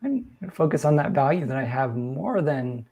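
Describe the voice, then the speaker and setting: A man's voice: on the high side, very animated, normal speed, darkish tone, breathy edge. A man hosting a live question-and-answer chat who is losing his voice, talking in an earnest, coaching way. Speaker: host reading viewer questions.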